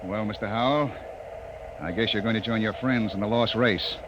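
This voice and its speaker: A man's voice: lowish voice, normal speed, dull audio, natural voice. An actor playing a short-tempered, commanding male spaceship captain in 1950s radio drama, barking terse orders. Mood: stern, firm. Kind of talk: 1950s science fiction radio drama acting. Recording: noisy, band-limited 1950s radio recording.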